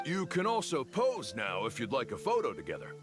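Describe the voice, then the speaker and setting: A man's voice: mid-range voice, wildly swooping pitch, fairly quick, over loud music. An actor playing a money-minded, pushy huckster in a video game, with a wheedling salesman's manner. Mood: helpful, salesy.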